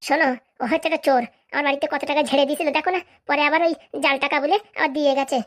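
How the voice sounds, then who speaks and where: A woman's voice: sits high, little pitch movement, racing, close to an everyday voice. A woman voicing a bossy, scheming village housewife in a comic cartoon, with brash, scolding, rustic speech.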